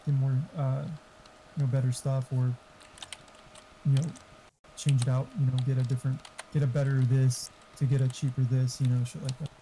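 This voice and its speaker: A man's voice: medium pitch, level tone, moderate pace, warm tone, a little hiss or hum, low-quality recording. A man chatting casually over gaming voice chat, rambling and swearing freely.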